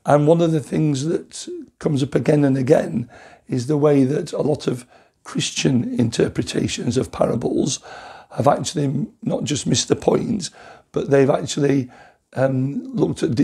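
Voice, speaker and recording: A man with a hoarse, croaky voice from a cough, speaking as a Methodist preacher in a rambling, thoughtful recorded sermon with many pauses and restarts. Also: fairly high pitch, warm tone.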